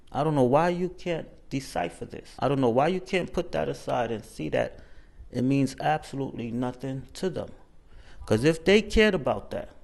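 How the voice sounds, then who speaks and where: A man's voice: a little high, vocal fry, a little mellow. A man giving his opinion, lecturing with strong emphasis. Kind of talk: opinion commentary.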